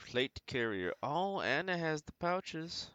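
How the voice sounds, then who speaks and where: A man's voice: a little high, big pitch swings, slow, sharp tone, clean tone, no room sound. A man giving casual video game commentary as a streamer, thinking aloud in short, slangy remarks.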